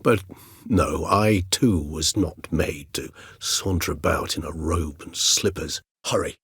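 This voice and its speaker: Courteous vampire scholar, very husky, low-pitched, formal eloquent phrasing, gentle philosophical temper, video game dialogue. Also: male voice, no room sound, clean studio sound, exaggerated voice. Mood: courteous, wry. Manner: formal, eloquent, measured old-fashioned phrasing. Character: courteous, erudite middle-aged male vampire, gentle and philosophical.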